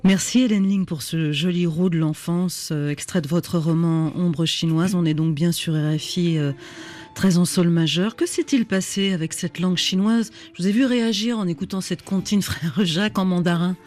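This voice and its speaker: Radio host, gently probing questions, mid-pitched voice, studio. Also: moderate pace. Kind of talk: radio interview.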